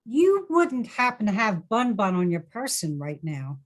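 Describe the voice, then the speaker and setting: A woman's voice: medium pitch, big pitch swings, measured pace. A woman playing a scheming friend with a dry wit in a drama table read. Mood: wry.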